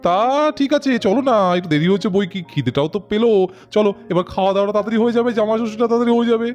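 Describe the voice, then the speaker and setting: A man's voice: high voice, rapid-fire, darkish tone, close to an everyday voice. A man voicing a cheerful young son-in-law doll in a cartoon story, chatty and breezy. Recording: music behind the voice.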